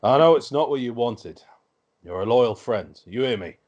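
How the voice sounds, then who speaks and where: A man reads a hearty middle-aged king at a table read. His voice sits at an ordinary male pitch, and his delivery is blunt, gruff and familiar, with open affection. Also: lively intonation, normal speed, darkish tone, muffled recording, natural voice. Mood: earnest, comradely.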